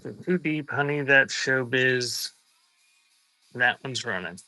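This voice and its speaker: Male live-sale host, bright forward voice at middling pitch, slightly unhurried, casual and off-the-cuff, music playing behind. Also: poor audio. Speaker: live auction seller and host. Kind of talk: casual live-selling chat.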